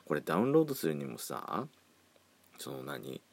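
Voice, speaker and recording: A man's voice: extremely low pitch, very animated, normal speed, vocal fry, dry recording. A man around forty hosting a casual solo podcast, chatting with campy, feminine phrasing. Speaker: podcast host. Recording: faint background music.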